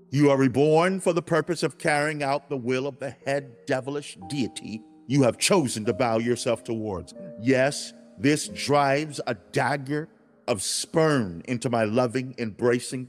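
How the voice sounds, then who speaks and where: A man in a dramatized religious audiobook, speaking as God, a grieved and loving father, in a solemn, emphatic, preaching manner with formal wording. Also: mid-range voice. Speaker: audiobook narrator. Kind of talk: dramatized scripted religious narration. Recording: music bed under the voice.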